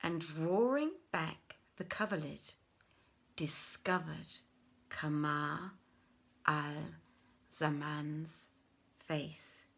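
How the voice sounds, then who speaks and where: A woman reading a literary tale as a dramatic storyteller, with measured, deliberate phrasing and theatrical pauses. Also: sits low, vocal fry, a bit bright. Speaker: storyteller, audiobook reader.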